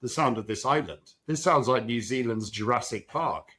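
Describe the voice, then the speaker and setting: A man's voice: medium pitch, normal speed, a bit bright. Brief: male podcast host, mid-range voice, friendly, casual, light humor. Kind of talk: casual interview.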